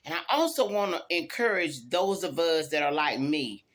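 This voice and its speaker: A woman's voice: low-pitched, expressive, moderate pace. A tired woman gives a casual, emphatic pep talk to viewers, folksy and fond of repeating her phrases. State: tired after work. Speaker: YouTube vlogger.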